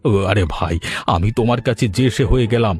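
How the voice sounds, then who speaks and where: A man's voice: fairly low pitch, brisk, darkish tone, soft background music, voice pushed down, natural voice. A man voicing a flustered, pleading village landlord in a cartoon, stammering as he talks. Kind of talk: cartoon story acting.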